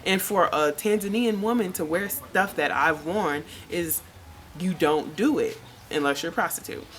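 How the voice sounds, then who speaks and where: A woman from the United States talks through her experience in a relaxed, candid vlog, full of "you know" and "like." Her voice sits low in pitch. Faint outdoor ambience and birdsong sit behind her. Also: moderate pace, a little hiss or hum. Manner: chatty, frank, lots of fillers. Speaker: vlogger. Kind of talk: casual personal vlog.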